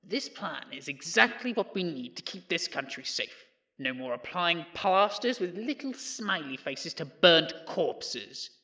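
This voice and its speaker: An actor playing a cocky, combative populist prime minister with brash, glib oratory in an audio drama debate. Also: male voice, a little high, very animated, measured pace, pushed and bright, full character voice.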